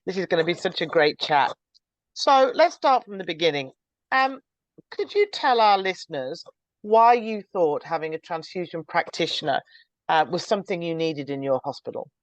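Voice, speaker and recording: A woman in her forties or older hosting a podcast, reading a measured, scripted introduction before interviewing a guest. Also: fairly low pitch, expressive, no echo, muffled recording. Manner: clear, measured, reads from a script. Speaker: podcast host, transfusion practitioner.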